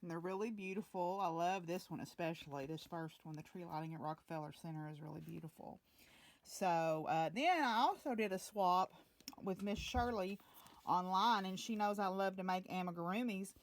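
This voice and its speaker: Chatty female vlogger, lower-pitched, easygoing and rambling. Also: normal speed. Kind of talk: casual home vlog chat.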